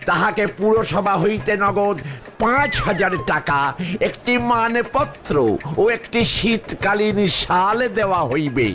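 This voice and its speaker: A pompous cartoon official delivers formal proclamations at a slow, measured pace. The male voice sits fairly high and uses stiff, old-fashioned diction. Music plays behind. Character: pompous municipal official, a widowed man. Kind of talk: formal announcement in comic cartoon.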